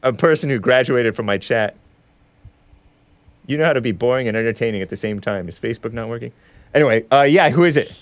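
A man's voice: medium pitch, quick pace, long pauses, dry recording. A man with a nasal voice hosting a casual, opinionated talk show, rambling, laughing often and chatting with viewers. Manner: rambling, laughs often, chats with the live chat. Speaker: show host.

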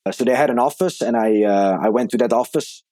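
A man's voice: mid-range voice, fast, warm tone, dry recording, clean studio sound. A man in his thirties from the Netherlands with a Dutch accent, a native Dutch speaker, answering conversationally as an interview guest. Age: 30s. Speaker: podcast guest, entrepreneur.

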